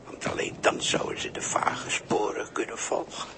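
A man's voice: moderate pace, in a whisper, natural voice. A man acting a cryptic, mocking sailor who preaches philosophy, in a 1960s radio drama. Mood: taunting, preaching. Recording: noisy 1960s radio recording.

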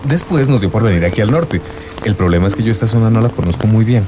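A man's voice: fairly low pitch, expressive, fast talker, background noise, lightly acted. An actor playing an earnest young man in love, searching and telling his story in a radio drama. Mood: wistful, earnest.

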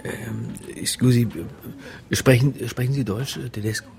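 A man playing a hapless religion lecturer who narrates a crime radio drama, dry and wry, halting in dialogue. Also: mid-range voice, steady tone, vocal fry, darkish tone. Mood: flustered. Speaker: narrator and lead character.

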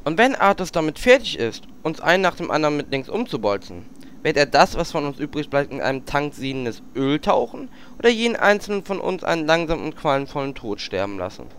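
A man's voice: a little high, sing-song, brisk, a bit bright, slight background noise. A man playing a cocky, flirtatious and sarcastic adventurer in a fantasy parody audio drama.

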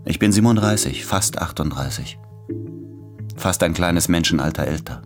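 An actor playing an anguished, self-doubting man in his late thirties, speaking in measured, introspective literary monologue in a radio drama. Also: low voice, animated, music in the background.

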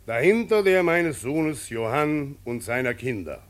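Old farming patriarch, solemn, plain-spoken, halting weighty phrases, lively swooping pitch, radio drama. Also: male voice. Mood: solemn, decreeing. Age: older. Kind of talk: radio play acting.

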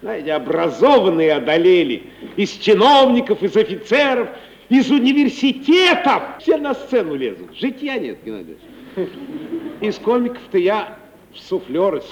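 A man's voice: high-pitched, sing-song, moderate pace. An actor playing a shabby, chatty wandering male comic in a 1950s radio play, meek, deferential and plaintive. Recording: dull, faintly noisy 1950s radio recording.

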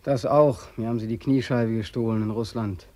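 A man's voice: medium pitch, steady tone, fairly quick, warm tone, natural voice. A man plays a hopeless, freezing soldier back from war in a radio drama. His voice is sad and desolate. He laments with weary, emphatic bitterness. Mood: forlorn, wry. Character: bitter, freezing, limping war returnee, hopeless and self-pitying. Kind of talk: German radio play acting.

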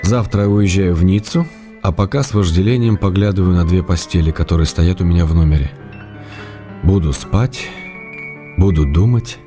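An actor playing a middle-aged Russian writer, tender and wry, reading love letters aloud in a radio play. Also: male voice, low voice, close to an everyday voice. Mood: longing. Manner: affectionate, gently teasing, measured. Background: music.

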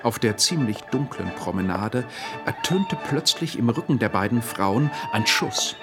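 A man's voice: medium pitch, expressive, a little slow. A man narrating a radio drama in a formal, factual, scripted reading. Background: music.

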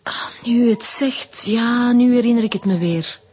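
A woman's voice: fairly high pitch, a little slow, darkish tone, breathy edge. A woman playing a fussy, affected, guarded singing teacher in a radio drama. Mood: guarded, evasive. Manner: affected, haughty, evasive.

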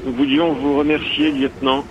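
An actor playing a mysterious, sinister phone caller in a French audio drama, polite, formal and measured. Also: male voice, fairly high pitch, flat delivery, lo-fi sound, background noise, close to an everyday voice. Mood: coolly menacing. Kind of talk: French audio fiction acting.

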